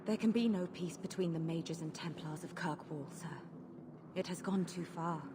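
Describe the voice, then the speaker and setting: Woman acting fugitive game mage, slightly deep pitch, grave, proud, formal, wary. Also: normal speed, natural voice. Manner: formal, solemn. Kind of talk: dramatic video game acting. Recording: clear ambient noise behind the voice.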